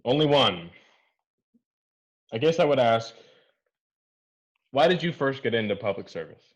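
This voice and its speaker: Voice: medium pitch, very animated, a little slow. An actor playing an earnest, hesitant young student reporter, polite and tentative, heard over muffled video-call audio.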